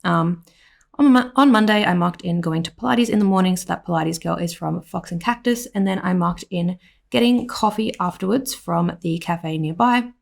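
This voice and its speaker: A woman with an Australian accent, slightly hoarse, giving a rambling voiceover at home full of "like" and "um". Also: fairly low pitch, moderate pace. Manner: rambling, with many "like" and "um" fillers. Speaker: planner vlogger. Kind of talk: casual voiceover narration.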